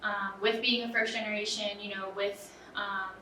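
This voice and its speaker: A woman's voice: medium pitch, flat delivery, moderate pace, sharp tone, distant mic, a little hiss or hum. A young woman student answering interview questions in an earnest, casual way, with many "um"s and "you know"s. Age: young adult. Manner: earnest, filler-heavy with frequent "um" and "you know". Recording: roomy, off-mic with faint ambience.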